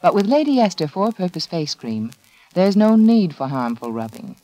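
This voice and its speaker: Female spokeswoman, 1940s radio ad, dark mellow tone, animated intonation, confiding, persuasive, scripted. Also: on the low side, moderate pace, no room sound, rough recording. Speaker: commercial spokeswoman.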